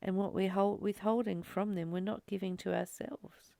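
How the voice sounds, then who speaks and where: A woman's voice: medium pitch, moderate pace, vocal fry, dry recording. A woman giving a reflective, conversational spiritual talk, stressing points through repetition. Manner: expressive, conversational, emphatic repetition. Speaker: spiritual study host.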